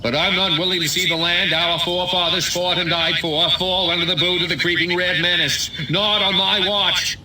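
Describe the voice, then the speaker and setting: Man playing a high-voiced, blustering small-town mayor. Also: level tone, normal speed, hardly any pauses, noisy background, close to an everyday voice. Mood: blustering, alarmist. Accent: American. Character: glib, blustering small-town American mayor making speeches. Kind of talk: comedic video game dialogue, mock political speech.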